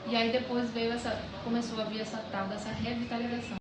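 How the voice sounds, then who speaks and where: A woman's voice: a little high, flat delivery, quick pace, echoey room, distant mic, background noise. A woman, a longtime resident chatting and reminiscing in a documentary interview outdoors. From Salvador, Brazil. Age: 40s.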